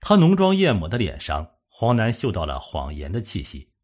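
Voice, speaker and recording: A man reads a suspense novel as an audiobook narrator. His voice sits fairly low and has a rough, husky grain. The delivery is scripted storytelling with lively, wide-moving intonation. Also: moderate pace.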